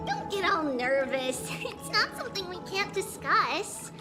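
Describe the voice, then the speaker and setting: A little demigod girl from a video game, sweet-tempered and cheerful. Her childlike voice sits extremely high and she takes her time with each line. Music plays clearly behind her. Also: very animated, heavily acted. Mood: bright, gentle. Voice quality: childlike, light voice. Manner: unhurried, speaks of herself as "we".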